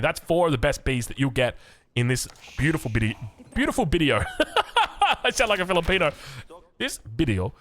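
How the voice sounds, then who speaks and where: A man's voice: mid-range voice, fairly bright tone, slight background noise. An Australian man giving live strategy game commentary in a chatty, enthusiastic, self-correcting way. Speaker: esports caster of a strategy game.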